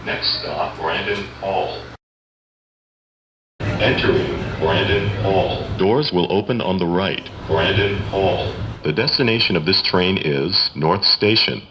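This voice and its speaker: A man's voice: low voice, slow. A man reading automated station announcements in short, formal, clipped set phrases, as a transit announcer. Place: inside a subway train, over speakers. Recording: echoey, noisy subway car recording.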